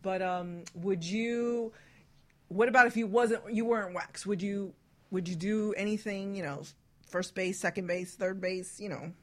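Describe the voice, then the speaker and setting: A woman's voice: mid-range voice, fairly even intonation, unhurried. A woman on a radio talk panel, chatting casually and putting questions to the others.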